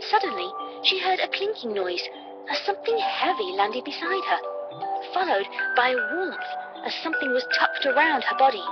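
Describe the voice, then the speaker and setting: Woman narrating a story over loud music. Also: sits high, very animated, moderate pace. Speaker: audiobook-style story narrator. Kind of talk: dramatic scripted narration of a fan story. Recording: dull lo-fi playback with loud music. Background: loud background music.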